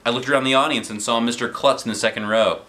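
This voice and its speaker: Male storybook narrator, animated read, bright tone, medium pitch. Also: quick pace. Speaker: storybook reader. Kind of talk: animated children's book narration.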